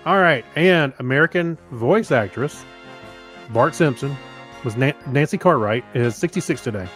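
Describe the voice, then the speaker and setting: A man co-hosting a casual podcast, reading items and chatting haltingly, often stumbling over his words. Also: mid-range voice, animated, fairly bright tone, soft background music. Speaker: podcast co-host.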